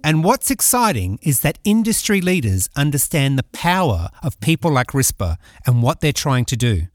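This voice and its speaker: A man hosting an agriculture podcast, reading scripted narration in a measured way. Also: on the high side, big pitch swings, no room sound. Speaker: podcast host. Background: background music.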